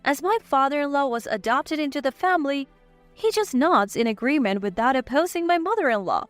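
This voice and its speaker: A woman reading a scripted first-person family drama in an animated, dramatic narrating manner. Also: high voice, fairly quick, faint music behind. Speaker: story narrator.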